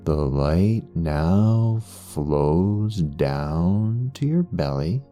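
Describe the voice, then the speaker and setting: Male meditation guide: deep, extremely slow and soothing, with long pauses between phrases. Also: animated, dark tone. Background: background music.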